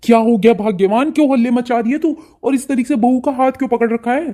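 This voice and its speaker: A plain-spoken cartoon family man, voiced by a woman. The tone is dark and mellow, though pitched fairly high for the part. He talks in an admonishing, everyday way. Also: quick pace, lightly acted. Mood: puzzled.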